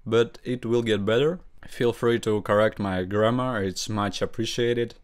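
Young male gaming host, Russian accent, lowish voice, non-native English, home-recorded voice-over commentary. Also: steady tone, clean tone. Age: young adult. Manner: halting non-native English with grammar slips.

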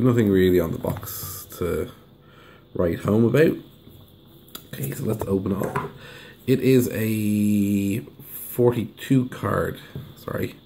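A hoarse man, chatting casually through an unboxing review and humming as he unwraps things. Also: on the low side, mellow, slight background noise. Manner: chatty, hums while unwrapping. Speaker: deck reviewer. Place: indoors at a table. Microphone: camera over the table filming hands.

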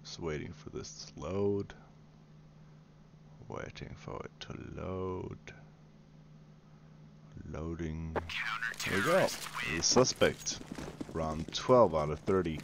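Deep, slow gaming host. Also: male voice, background noise. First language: Swedish. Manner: mocking, taunting, casual swearing. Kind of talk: sarcastic gameplay commentary. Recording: game gunfire under voice.

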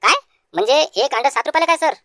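A woman's voice: sits high, animated, quick pace, a bit bright, no room sound, studio quality. A woman voicing a cheeky, argumentative village schoolboy in a comic cartoon, with a Vidarbha Marathi accent. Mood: indignant. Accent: Marathi, Vidarbha region. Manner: brazen back-talk.

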